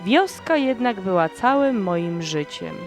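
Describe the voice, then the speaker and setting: A woman narrating a first-person horror story in a slow, dramatic storytelling manner. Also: mid-range voice, sing-song. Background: background music.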